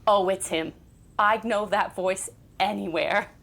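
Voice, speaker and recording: A woman's voice: medium pitch, unhurried, gravelly, a bit bright. An actress playing a doting, starstruck older mother in an audio drama, nagging and insistent with a dramatic streak.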